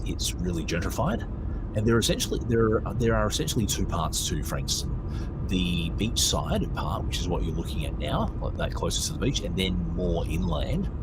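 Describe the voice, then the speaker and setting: An Australian man hosting a podcast with casual, rambling commentary, often hesitating as he searches for words. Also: deep voice. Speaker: podcast host. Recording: loud car-like background noise.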